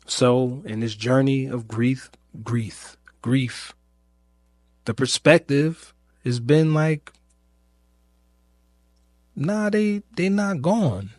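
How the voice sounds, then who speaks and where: A man hosting a podcast, speaking in a reflective personal monologue, informal and searching, with long pauses, repeated phrases and questions put to himself. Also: medium pitch, a little mellow, no room sound. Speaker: podcast host.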